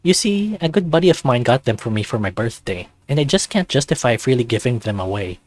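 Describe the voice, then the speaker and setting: A man's voice: medium pitch, animated, fairly quick, natural voice. A man voicing a sarcastic, incredulous teenage guy, the hero of a visual novel, with teasing, questioning delivery. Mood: smug, teasing. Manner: dry, teasing, exclamatory questions.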